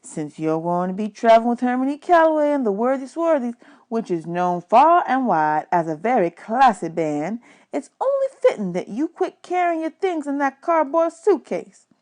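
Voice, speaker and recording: A woman's voice: fairly high pitch, very animated, moderate pace, a little mellow, pitched above the performer's own voice. A woman voicing an easygoing, kindly male jazz musician who mentors a boy in a storybook. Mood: generous, warm.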